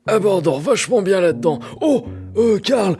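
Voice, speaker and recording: Playful joking player. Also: male voice, high voice, lively intonation, moderate pace, gravelly, mellow, faint music behind. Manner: playful, laughing. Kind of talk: casual tabletop roleplay.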